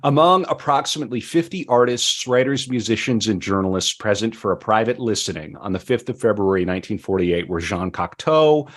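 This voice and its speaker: A man hosting a podcast discussion, speaking with deliberate, emphatic phrasing and at times reading from prepared notes. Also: fairly low pitch. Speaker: podcast host.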